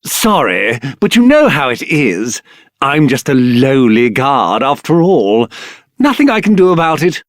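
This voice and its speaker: A man's voice: medium pitch, big pitch swings, a little slow, dry recording, studio quality. A man playing a mild, apologetic, easygoing fellow in a video game.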